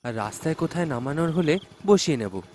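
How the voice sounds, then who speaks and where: A jumpy, superstitious autorickshaw driver from a horror folktale, acted by a man. He has a high-pitched male voice and an exclamatory way of muttering to himself. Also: animated, quick pace, darkish tone, slight background noise, close to an everyday voice. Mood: puzzled, practical.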